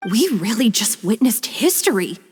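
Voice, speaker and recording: An actress playing an astonished courtroom onlooker in an anime game. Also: female voice, fairly high pitch, big pitch swings, moderate pace, husky, faint music behind, full character voice. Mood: amazed.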